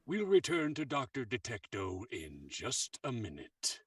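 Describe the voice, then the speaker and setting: A man's voice: mid-range voice, wildly swooping pitch, unhurried, far from the mic. A man acting a polite, long-suffering announcer in a 1940s radio show style. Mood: patient.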